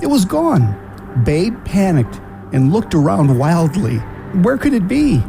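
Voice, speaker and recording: A man's voice: high-pitched, huge pitch swings, a little slow, creaky, over music. A man narrating a scripted family radio drama in a storybook style.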